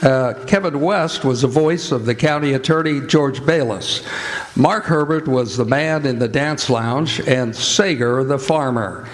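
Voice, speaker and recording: A man announcing a live radio theatre show in a formal, deliberate old-time broadcast style. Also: medium pitch. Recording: live stage with music and audience. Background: music and audience noise.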